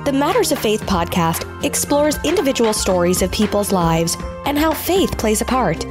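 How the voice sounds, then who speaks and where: A woman reading a scripted podcast promo as narrator, with measured, polished phrasing. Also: a little high, big pitch swings, over music.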